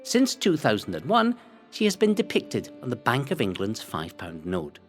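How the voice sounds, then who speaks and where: Expressive male narrator over music. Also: mid-range voice, moderate pace. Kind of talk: scripted historical audio guide narration.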